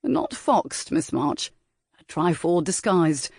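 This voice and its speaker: A woman's voice: on the low side, very animated, slow, creaky, a little mellow, dry recording, studio quality, heavily acted. A woman voicing a languid, teasing aristocratic rake who drawls his lines.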